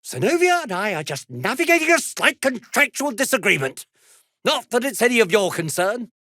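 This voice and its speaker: A man's voice: very high voice, big pitch swings, moderate pace, pushed and bright, no room sound, studio quality, exaggerated voice. A British man playing a fussy, self-important and slippery toy maker in a video game, speaking in a haughty, theatrical, wordy way. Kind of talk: dramatic video game dialogue.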